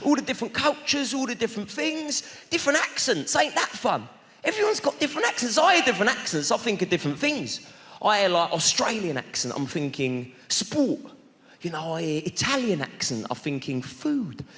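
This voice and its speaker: A man's voice: sits high, very animated, fairly quick, a bit bright. A man doing cheeky, chatty crowd work in a stand-up set on a theatre stage. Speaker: stand-up comedian. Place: large theatre stage with audience. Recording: live theatre with long reverb and crowd.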